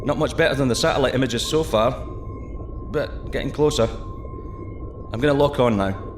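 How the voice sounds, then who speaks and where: A man playing a calm sub diver. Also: mid-range voice, moderate pace, echoey room, noisy background, close to an everyday voice. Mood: steady. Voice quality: calm, clipped radio reports. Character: Scottish male deep-sea diver piloting a tiny sub. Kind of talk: audio drama acting.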